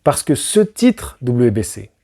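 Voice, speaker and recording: A man's voice: medium pitch, very animated, slow pace, dark tone, husky. A man hosting a casual boxing analysis, talking straight to the viewer with slangy asides and rhetorical questions. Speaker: boxing channel host.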